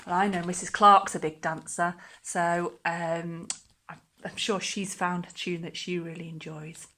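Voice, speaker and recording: A woman reading a children's story aloud like a teacher, with expressive, dramatic storytelling. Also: lowish voice, measured pace.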